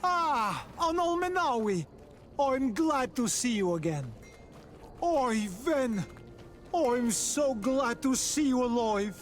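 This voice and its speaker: An actress playing a grateful islander village trader in a fantasy game, warm and ceremonious. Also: female voice, sits high, animated, soft background music. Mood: relieved, grateful. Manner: ceremonious, deliberate.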